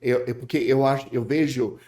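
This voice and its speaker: Man speaking halting Portuguese. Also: medium pitch, steady tone, dark tone. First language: English. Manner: halting, restarts, repeats words. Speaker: guest preacher. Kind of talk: casual interview chat.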